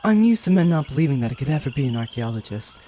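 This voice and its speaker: A woman's voice: extremely low pitch, very animated, fast talker, dark tone, a little hiss or hum. A woman playing a poised, earnest xenoarchaeologist, polite and formal, in a sci-fi audio drama.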